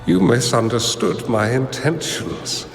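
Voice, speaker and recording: An actor playing a wise old hermit master in a video game, with a gentle, solemn, measured delivery. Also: male voice, mid-range voice, animated, gravelly. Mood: gentle, detached. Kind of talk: dramatic video game voice acting. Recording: echoey hall reverb with music behind.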